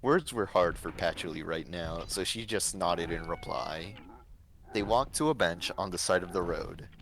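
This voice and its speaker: A man's voice: low voice, fairly bright tone, slight background noise, poor audio. A man reading a fan story aloud as narrator on a livestream.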